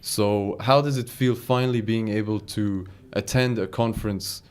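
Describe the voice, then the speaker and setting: A man's voice: lowish voice, fairly even intonation, normal speed, smooth. A young man hosting a casual podcast, joking with his guests and steering the chat. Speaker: podcast host. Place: small podcast recording room. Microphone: studio microphone.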